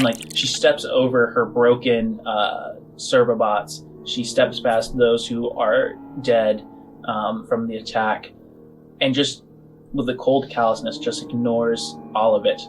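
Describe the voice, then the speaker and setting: A man hosting and narrating a tabletop roleplaying game in halting, improvised short phrases. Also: mid-range voice, low-quality recording. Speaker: game master and host of a tabletop game show. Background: music.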